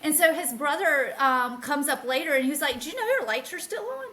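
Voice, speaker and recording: High bright woman telling a travel story. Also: animated, a little fast. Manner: enthusiastic, firm, storytelling. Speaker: podcast co-host. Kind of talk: casual book club chat.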